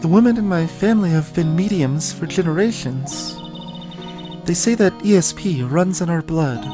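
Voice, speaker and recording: Guy doing a soft sad young spirit medium girl, game dialogue, halting and earnest, music playing. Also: on the high side, lively intonation, voice pushed up, lightly acted. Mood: sad, reflective. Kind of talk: voiced video game dialogue. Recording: music behind the voice.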